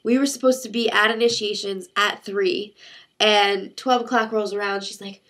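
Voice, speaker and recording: Young woman vlogger with a congested cold, crisp bright voice, chatty and rambling. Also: mid-range voice, steady tone, moderate pace. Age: young adult. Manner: chatty, rambling storytelling with many fillers. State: congested, sick voice. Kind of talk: casual chat to camera.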